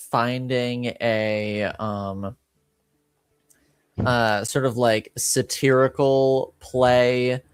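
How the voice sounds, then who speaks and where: A man improvising narration as a game master, hesitant and pausing, with a deadpan manner. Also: medium pitch, clear, resonant tone, no echo.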